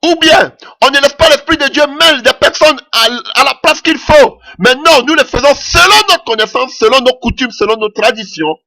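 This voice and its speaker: A man's voice: extremely high pitch, moderate pace, few pauses, bright, forceful tone. A man preaching a sermon in French that is not his mother tongue, impassioned and punctuating his points with "Alléluia".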